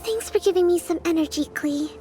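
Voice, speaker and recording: A woman voicing a gentle young female general in a video game, speaking softly, politely and with measured composure. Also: very high-pitched, faint music behind. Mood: grateful.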